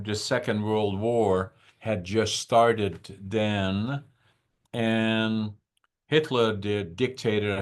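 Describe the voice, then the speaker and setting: An older man in his eighties, from the Netherlands, is talking over a video call. His voice sits a little low, and he tells his stories slowly, in a reflective way, stopping often to search for words. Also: clean tone. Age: 80s. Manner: unhurried, reflective, with frequent "uh" pauses. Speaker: podcast guest. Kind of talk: conversational storytelling.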